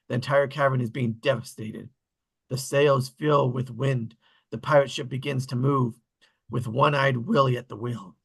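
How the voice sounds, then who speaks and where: Bright, husky man reading stage directions. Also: mid-range voice. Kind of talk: scripted narration at table read.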